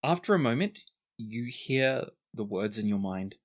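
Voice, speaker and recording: A man narrating and voicing characters as game master of a tabletop role-playing game, relaxed and using fillers. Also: medium pitch, a little slow.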